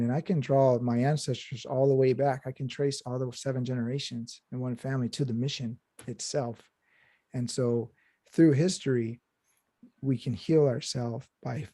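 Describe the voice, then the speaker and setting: Dark-voiced man, reflective historian. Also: mid-range voice, dry recording. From Southern California. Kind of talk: panel discussion.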